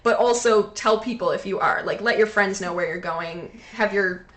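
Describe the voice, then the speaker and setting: A young woman with a fairly low, unfussy voice tells a dating story on a podcast. She swears freely and bursts into quoted voices. Her pitch sits in the middle for a woman. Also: moderate pace, a bit bright. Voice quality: lower-pitched, not high voice. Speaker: podcast co-host. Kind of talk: casual storytelling chat.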